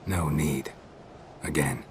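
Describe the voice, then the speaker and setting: A man playing a terse, deadpan, world-weary hero in a video game. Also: very deep voice, slow, noisy background. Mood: dry, terse. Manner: laconic, deadpan. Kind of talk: understated video game acting. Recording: loud music behind the dialogue.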